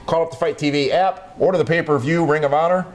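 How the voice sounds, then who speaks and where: A man's voice: fairly even intonation, fast talker. A man hosting a wrestling talk show, running through the news and needling his co-host. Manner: teasing, roasts his co-host, pitches the show to viewers.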